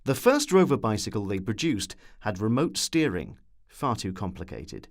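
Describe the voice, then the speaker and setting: Man narrating with very varied pitch. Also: big pitch swings, normal speed. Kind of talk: scripted documentary narration.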